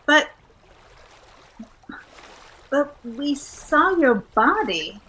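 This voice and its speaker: An actress playing a poised, clever detective's wife, coolly wry and teasing, in 1940s radio drama style. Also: female voice, high voice, sing-song, drawn-out words, pushed and bright, exaggerated voice. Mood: puzzled. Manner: coolly wry, matter-of-fact.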